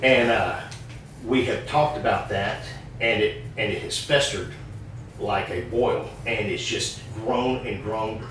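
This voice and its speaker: Low-voiced steady man, echoey room. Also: takes it slowly, a little hiss or hum. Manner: steady, dryly humorous. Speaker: guest, former Marine, veterans group officer. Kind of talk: casual personal introduction. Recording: echoey room, mic at a distance.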